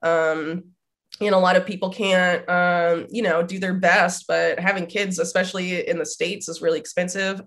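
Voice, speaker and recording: A woman's voice: on the low side, little pitch movement, moderate pace, fairly bright tone. Nasal woman in her thirties, rambling. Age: 30s. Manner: rambling, many fillers. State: slightly nasal from a cold. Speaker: guest. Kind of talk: casual conversation.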